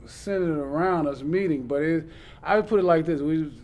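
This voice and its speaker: A man's voice: on the high side, normal speed, darkish tone. Casual male interview host. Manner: loose, conversational questioner.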